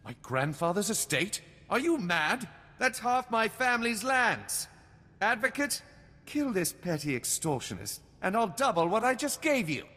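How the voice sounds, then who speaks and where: A man's voice: high voice, big pitch swings, a little slow, sharp tone, big-room sound, heavily acted. A man playing a proud, irate, scheming noble baron in a video game, with a haughty, commanding manner. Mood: outraged.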